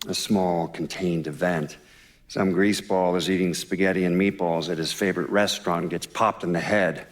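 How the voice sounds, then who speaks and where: An actor playing a sober, reflective witness giving documentary-style interview testimony for a video game. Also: male voice, low-pitched. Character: sober male witness recounting a mob killing, reflective.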